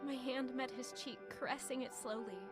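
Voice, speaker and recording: A woman's voice: high voice, steady tone, normal speed, over loud music, natural voice. An actress playing an earnest, dramatic young woman in an audio drama. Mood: dreamy.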